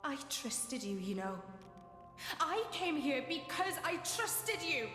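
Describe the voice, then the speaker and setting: Hurt, high-voiced teenage girl. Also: big pitch swings, takes it slowly, exaggerated voice. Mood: wounded, accusing. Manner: accusing, bitter, wounded. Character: hurt, jealous teenage girl companion, fiery. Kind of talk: video game cutscene voice acting. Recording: echoey hall reverb with music behind.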